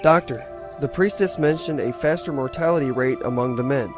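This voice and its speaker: An actor playing a dutiful starship lieutenant, giving respectful, matter-of-fact reports in a sci-fi audio drama. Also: male voice, medium pitch, a little fast, over music, close to an everyday voice. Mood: businesslike. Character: dutiful male starship lieutenant on an away mission.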